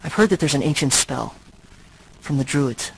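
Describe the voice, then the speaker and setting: An actor playing a brooding, tormented but noble centuries-old vampire in a video game, speaking in a grave, halting, earnest way. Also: male voice, medium pitch, animated, creaky, a bit bright, husky, exaggerated voice, low-quality recording. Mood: earnest, explaining.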